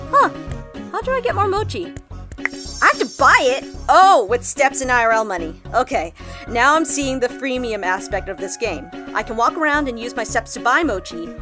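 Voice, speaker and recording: Excitable young female gamer-vlogger for audio drama: high, lively and swooping, chatty and gushing, over music. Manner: gushing, giggly, swooping into squeals. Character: bubbly, excitable young female vlogger and monster-game fan. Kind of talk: audio drama acting as a chatty livestyle vlog.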